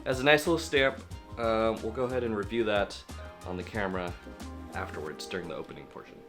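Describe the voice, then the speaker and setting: A man from the Seattle area vlogging about opening trading cards at home, his voice hoarse and still recovering, talking in a chatty, rambling way that keeps correcting itself. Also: on the low side, fast, fairly bright tone, faint music behind. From Seattle area, USA. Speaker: card-collecting vlogger. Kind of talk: casual vlog talk to camera.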